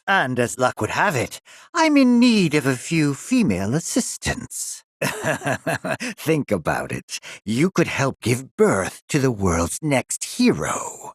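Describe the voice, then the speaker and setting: An actor playing a pompous middle-aged mad scientist in a video game, sinister and gloating, drawling his lines with relish in a raspy voice. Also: on the high side, dry recording, studio quality, heavily acted. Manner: pompous, drawling, relishing menace with sly chuckles. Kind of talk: theatrical video game villain acting.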